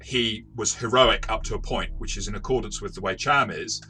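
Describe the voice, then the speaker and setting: A man's voice: fairly low pitch, a little fast, bright, forceful tone, slight background noise. A man talking through a tabletop game review as its game master, explaining in a conversational, self-correcting way.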